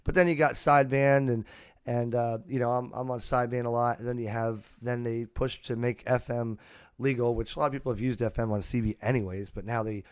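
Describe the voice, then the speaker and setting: A man's voice: mid-range voice, fairly even intonation, fairly quick, smooth, no echo, studio quality. A man hosting a podcast in a casual, unscripted way, rambling and often restarting his sentences. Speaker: podcast host. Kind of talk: casual unscripted hobby chat. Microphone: close USB podcast microphone.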